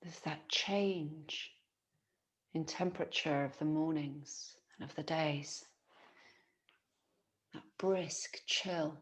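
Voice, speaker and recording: A woman, teaching yoga nidra as a guided relaxation in a soothing, gentle manner with long pauses. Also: low-pitched, fairly even intonation.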